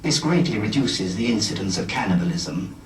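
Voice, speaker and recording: A man's voice: on the low side, lively intonation, brisk, lightly acted. A man playing a coldly reassuring official who gives sinister orders in a formal, authoritative public announcement. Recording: distant echoey announcement with faint noise.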